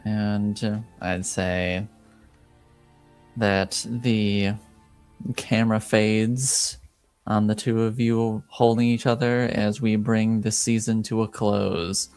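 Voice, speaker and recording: A man's voice: on the low side, little pitch movement, slow, darkish tone, clean tone, dry recording, faint music behind. A man acting as game master, narrating and bantering casually at a tabletop game.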